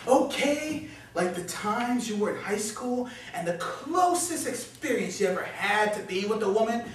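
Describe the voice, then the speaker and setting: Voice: high-pitched, lively intonation, a little slow, a bit bright. Performer as sneering male alter ego, heavy echo. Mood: sneering taunts. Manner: taunting, sneering, belittling. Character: mocking, foul-mouthed male inner voice of Oscar. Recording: distant, echoey room, faint noise.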